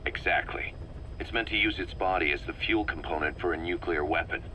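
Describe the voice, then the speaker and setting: An actor playing a serious military second-in-command in a video game, giving matter-of-fact explanatory briefings. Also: male voice, low voice, fairly quick, pushed and bright, slight background noise, poor audio. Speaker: military deputy briefing his boss.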